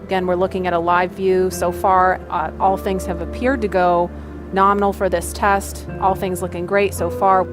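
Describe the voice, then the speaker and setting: A woman's voice: fairly low pitch, flat delivery, normal speed, music in the background. A woman hosting a live launch broadcast, commentating and raising her voice to carry over the room. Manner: raising voice over cheering crowd. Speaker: launch webcast host. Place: indoor room with cheering crowd.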